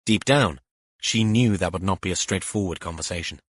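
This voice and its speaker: A man's voice: deep voice, brisk, breathy edge, no echo, clean studio sound. A man reading a mystery novel as scripted audiobook narration.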